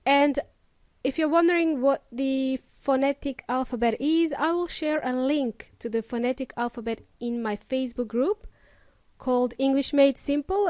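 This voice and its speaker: A woman's voice: sits high, a little mellow, no room sound, clean studio sound. A young woman with an Eastern European accent tinged with New Zealand and Australian sounds, hosting an upbeat English lesson podcast and talking straight to her listeners. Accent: Eastern European with New Zealand and Australian traces. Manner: upbeat, addresses listeners directly with Spanish asides. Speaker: English teacher podcast host.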